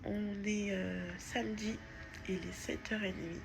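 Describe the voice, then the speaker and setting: A woman's voice: medium pitch, steady tone, measured pace, gravelly, music in the background. A woman vlogging at home on her phone, chatting casually with a stuffy nose from a cold and lots of fillers and restarts. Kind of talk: casual diary vlog. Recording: noticeable background noise.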